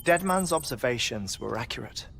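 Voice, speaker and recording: Casting: eccentric middle-aged scientist for a cinematic game, mid-pitched creaky voice, theatrical, deliberate delivery. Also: male voice, expressive, noisy background. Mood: grave, explanatory. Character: earnest eccentric middle-aged male scientist expounding grand theories. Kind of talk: cinematic video game acting. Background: music.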